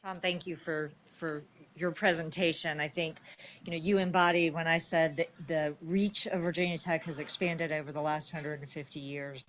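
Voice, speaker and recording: A woman's voice: low voice, a bit bright, a little hiss or hum, low-quality recording. A woman moderating a university panel, thanking one speaker and reading out the next speaker's credentials, with a few ums and restarts. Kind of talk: formal speaker introductions.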